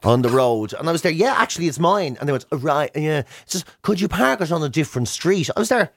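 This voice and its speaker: A high-pitched Irish man with a Dublin accent swoops up and down as he rants through a funny story. He talks casually, like a radio host bantering with a mate, in a dry, close studio sound. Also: quick pace, no room sound. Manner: animated, exasperated comic ranting.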